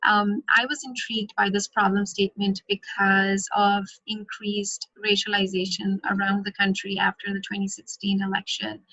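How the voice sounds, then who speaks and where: A woman in her thirties from Pakistan giving a measured conference presentation, with frequent ums. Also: mid-range voice, level tone, pushed and bright, husky, dry recording. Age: 30s. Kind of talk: academic presentation. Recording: muffled lo-fi audio.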